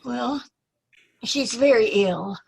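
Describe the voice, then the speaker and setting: Actress as timid young woman, polite, slow halting speech, breathy husky tone, old-time radio drama. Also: fairly high pitch, darkish tone, full character voice. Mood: sad, shy. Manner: timid, hesitant, apologetic. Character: timid, polite young woman seeking her lost brother.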